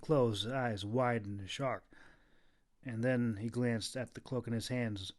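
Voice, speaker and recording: A man's voice: mid-range voice, fairly even intonation, slow pace. A man reading his own fantasy novel aloud as a dramatic scripted audiobook narration.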